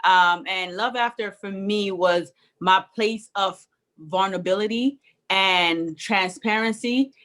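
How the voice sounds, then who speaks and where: A woman's voice: mid-range voice, a bit bright. A woman from Jamaica with a Jamaican accent, a guest in an interview, speaking earnestly and reflectively and laughing easily. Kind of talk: conversational interview.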